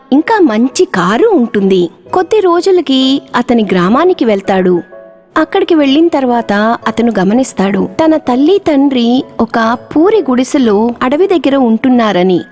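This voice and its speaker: A woman narrating a children's cartoon story in a measured storytelling manner. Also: high-pitched, very animated, a little mellow, faint music behind.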